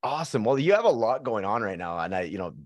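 A man hosting a podcast, chatting casually and warmly, rambling a little with fillers and restarts. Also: on the low side, very animated, quick pace, no room sound, studio quality. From Canada. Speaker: podcast host. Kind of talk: casual interview chat.

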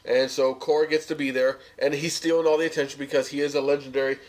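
A man's voice: medium pitch, little pitch movement, quick pace, darkish tone, low-quality recording. An American man co-hosting a podcast, chatting casually through a review in an opinionated, sardonic way. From United States. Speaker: podcast co-host.

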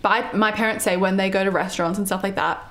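A woman's voice: medium pitch, fairly even intonation, fast talker, fairly bright tone. A young woman chatting casually, agreeing often and laughing easily. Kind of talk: casual conversation.

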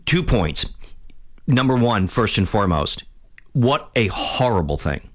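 A man of fifty hosting a radio talk show, giving a blunt, rambling opinion monologue with many restarts, his voice getting hoarse. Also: fairly low pitch, big pitch swings, unhurried. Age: 50s. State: losing his voice.

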